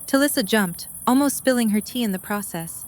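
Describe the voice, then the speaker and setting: A woman's voice: a little high, lively intonation, a little fast. A woman reading scripted story narration for an audio drama.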